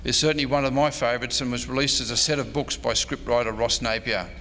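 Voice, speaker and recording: A man's voice: medium pitch, steady tone, fast talker, pushed and bright. A man giving an informal history lecture, reading from notes with casual asides. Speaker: presenter, radio historian. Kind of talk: slide show lecture. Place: convention presentation room. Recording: echoey hall, faint background noise.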